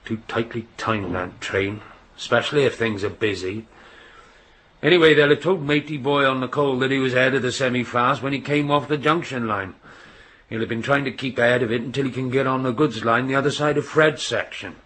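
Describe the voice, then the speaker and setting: An actor playing a gruff, teasing middle-aged railway signalman and bossy father with a West Country accent in a radio drama. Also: medium pitch, a little fast, a bit bright, lightly acted. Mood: matter-of-fact, grumbling. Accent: English West Country. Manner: gruff, chiding, colloquial working man's talk. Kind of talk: naturalistic radio drama acting. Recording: radio play with background noise and ambience.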